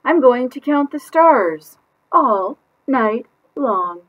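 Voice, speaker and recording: A woman voicing a stubborn, defiant little girl from a picture book, emphatic and drawn-out in a sing-song way. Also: sits high, lively intonation, lo-fi sound, slight background noise, voice pushed up. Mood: gleeful, determined.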